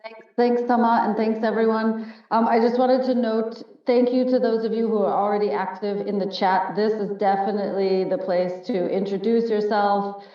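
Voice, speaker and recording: A woman's voice: medium pitch, steady tone. Woman hosting a panel over a dull call. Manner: friendly, frequent ums, quick asides. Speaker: webinar host. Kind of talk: moderating a panel on a video call. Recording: roomy, distant, dull video-call audio.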